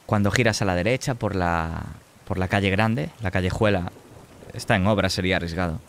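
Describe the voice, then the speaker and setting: A man narrating a tabletop horror game as game master, measured and descriptive. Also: low-pitched, fairly bright tone, a little hiss or hum.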